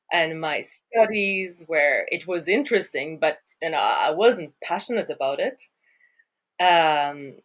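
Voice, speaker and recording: A woman from Sweden, speaking English as a guest on a podcast interview, thoughtful and hesitant with frequent fillers. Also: fairly low pitch. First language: Swedish. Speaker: guest, water surveyor.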